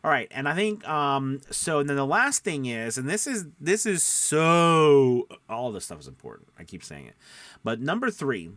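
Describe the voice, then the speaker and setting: A man's voice: a little high, a bit bright, no room sound. Emphatic male coach, close dry sound. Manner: emphatic, repeats key points, checks in with "right?" and "okay?". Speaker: voice-over coach. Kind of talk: informal instructional talk, tips for aspiring voice actors.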